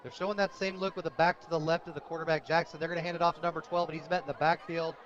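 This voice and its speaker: American male play-by-play caller, high voice, excitable, rapid delivery, slightly dull lo-fi broadcast sound. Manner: excitable on big plays. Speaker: play-by-play radio announcer. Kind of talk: live high school football commentary. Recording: dull, lo-fi outdoor radio broadcast.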